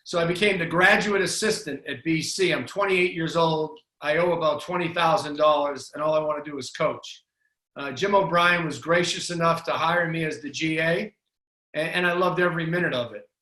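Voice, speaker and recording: A man's voice: a little high, normal speed, sharp tone, echoey room, low-quality recording. A middle-aged man with a Boston accent, a basketball coach telling his career story in a casual interview, with frequent restarts. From Boston area, USA.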